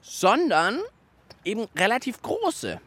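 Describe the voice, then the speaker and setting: A man's voice: high-pitched, slow pace, slightly rough. A young man hosting a children's radio program, chatting playfully and narrating as he works on a craft project. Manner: animated and playful, narrates what he is doing. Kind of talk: lively hands-on radio chat.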